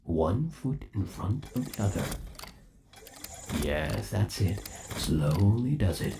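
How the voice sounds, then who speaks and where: Man playing a slow, creaky, obsessive rabbit animatronic boyfriend. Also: lowish voice, very animated, background noise, exaggerated voice. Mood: tender, encouraging. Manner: slow, doting, theatrical cooing. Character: possessive undead animatronic rabbit boyfriend, lovesick and sinister. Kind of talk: ASMR roleplay acting.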